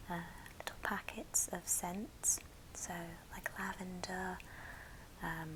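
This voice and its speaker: A woman whispering gently and breathily in an unhurried ASMR show-and-tell style. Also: lowish voice, background noise. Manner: gentle, unhurried, soft-spoken. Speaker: ASMR video creator.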